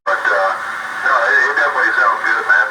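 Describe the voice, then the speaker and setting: A man's voice: mid-range voice, fast talker, pushed and bright. An American man chatting casually over CB radio in a colloquial, slangy, repetitive way. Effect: CB radio transmission. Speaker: CB radio operator. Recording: very noisy, echoey, distant CB radio reception.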